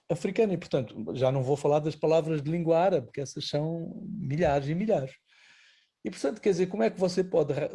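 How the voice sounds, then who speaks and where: A man with a warm, mellow voice pitched a touch above the usual, speaking as a guest writer. He reflects in a relaxed conversational way and keeps seeking the listener's agreement with short tag questions. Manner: thoughtful, tags points with "não é?".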